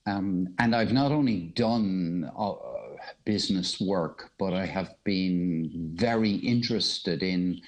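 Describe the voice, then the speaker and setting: A middle-aged Irishman with a low-pitched voice, speaking as a guest in a casual podcast conversation. He is reflective and a little hesitant, and modest about himself. He talks into a mobile phone. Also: slow pace. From Ireland. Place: remote conversation over a podcast app on a mobile.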